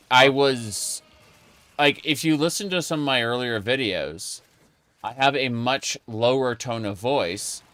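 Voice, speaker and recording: A man's voice: medium pitch, fairly bright tone, clean tone. A man streaming a late-night gaming chat, rambling casually with lots of fillers and restarts. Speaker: streamer and video creator.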